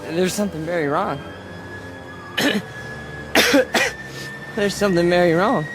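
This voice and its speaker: An actor playing a boastful, unstable young fanatic in a radio drama, intense, stammering and repeating himself. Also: male voice, high voice, unhurried, noisy background. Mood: dazed disbelief. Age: young adult.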